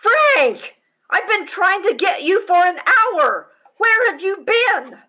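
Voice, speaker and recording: A woman's voice: very high-pitched, very animated, takes it slowly, muffled recording, exaggerated voice. A woman acting an indignant, nagging wife in a radio comedy. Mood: indignant, tearful.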